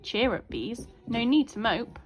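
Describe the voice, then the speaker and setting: A woman teacher reading a children's storybook aloud in an expressive, child-directed way. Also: a little high, measured pace, husky. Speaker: primary school teacher.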